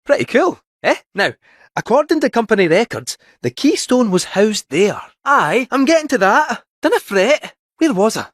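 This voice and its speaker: A man's voice: extremely high pitch, very animated, moderate pace, fairly bright tone, dry recording, studio quality, full character voice. A man playing a small, chirpy, wily robotic cat in a video game, with a Scottish accent and a theatrical, folksy, chatty delivery. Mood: chatty, storytelling. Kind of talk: scripted video game character dialogue.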